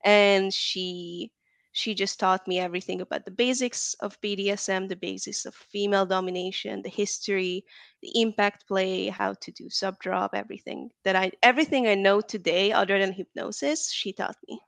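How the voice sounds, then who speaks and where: A woman's voice: medium pitch, fairly even intonation, moderate pace, creaky, dry recording. A woman from Hungary, chatting casually as an interview guest, full of likes and ums. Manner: chatty, frequent "like" and "um".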